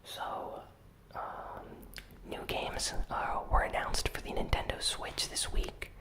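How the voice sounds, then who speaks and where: Slow, soft-whispering ASMR news host. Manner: soft unvoiced whisper throughout; unhurried, with frequent "you know" and "uh" fillers. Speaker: host of a gaming news channel.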